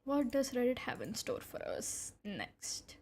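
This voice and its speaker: A woman's voice: fairly high pitch, measured pace, a little hiss or hum. A young woman whose first language is Hindi, reading online posts aloud on a stream and reacting with blunt, incredulous commentary and frequent laughter. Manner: animated, incredulous, laughs often, blunt with swearing. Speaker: VTuber streamer.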